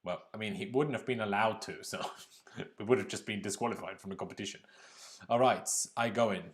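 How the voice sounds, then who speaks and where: A man's voice: on the low side, brisk. A young man from Austria hosting a live football reaction stream, chatting casually, reading viewer comments and laughing often. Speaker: livestream host reacting to a football draw.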